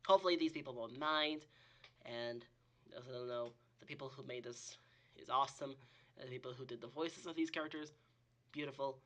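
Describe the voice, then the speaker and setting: A man with a husky, under-the-weather voice, hosting a casual reaction video in a rambling, exclamatory way. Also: mid-range voice, a little fast, noisy background. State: sick with a cold or similar illness. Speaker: host of a reaction channel.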